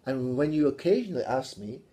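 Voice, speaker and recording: A Scottish man with a mellow, dark tone leads a relaxed video lesson. He talks with a lively, swooping pitch and keeps slipping in playful, teasing jokes. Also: a little fast. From Scotland. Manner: playful, teasing, jokey asides. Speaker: English teacher.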